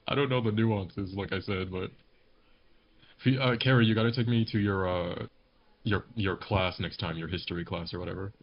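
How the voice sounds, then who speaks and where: A man's voice: deep voice, moderate pace. A man chatting casually on a group podcast in a joking, rambling way. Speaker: podcast panelist, amateur voice actor. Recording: dull lo-fi mic with background noise.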